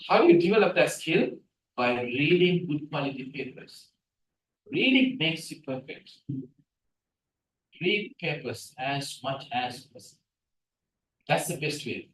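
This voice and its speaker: A man's voice: a little high, a little slow, pauses often, a little hiss or hum. Echoey lecturing man, native Tamil speaker. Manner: animated, asks the room questions. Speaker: guest lecturer. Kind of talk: lecture on scientific writing. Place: seminar room, hybrid session. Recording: echoey room from a distance.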